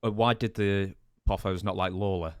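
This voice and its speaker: A man's voice: sits low, steady tone, moderate pace, clean tone, dry recording, clean studio sound. A man hosting a podcast, putting questions to his guest in a casual interview style.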